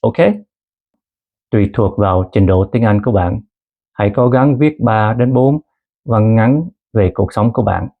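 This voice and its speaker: A man in his thirties who grew up in Canada, narrating a personal story as a language teacher in a calm, earnest way and mixing two languages. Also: on the low side, moderate pace, long pauses, narrow, tinny audio. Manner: calm, earnest storytelling, switching between Vietnamese and English. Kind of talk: scripted lesson narration.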